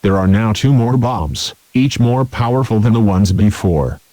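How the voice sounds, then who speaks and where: Casting: male actor as taunting broadcast-hijacking terrorist, low and dark, threatening, clipped lines, very dry sound. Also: a little slow, dry recording, close to an everyday voice. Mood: cold menace. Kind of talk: scripted villain monologue in fictional emergency broadcast.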